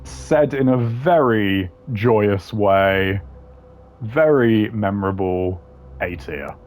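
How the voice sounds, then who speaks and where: Man, lowish pitch, casual ranking commentary, slangy, blunt, opinionated. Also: slow pace, darkish tone, slight background noise. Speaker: commentator ranking game voice lines. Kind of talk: casual tier-list commentary.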